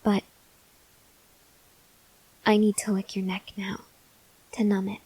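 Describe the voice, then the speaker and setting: A woman playing a gentle, awkward, hungry female vampire in an ASMR roleplay, speaking softly and hesitantly with breathy near-whispers and tender reassurance. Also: medium pitch, monotone, takes it slowly, no room sound, poor audio. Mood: careful, tender. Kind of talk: ASMR roleplay, intimate address to the listener.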